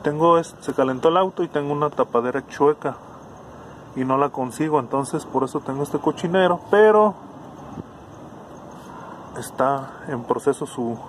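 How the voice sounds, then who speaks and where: Hombre mexicano explicando reparación de auto, voz algo aguda, ruido de fondo leve. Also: male voice, a little high, normal speed, pauses often, slight background noise. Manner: casual, thinking aloud with restarts. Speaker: car electronics tutor. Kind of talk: hands-on repair demonstration.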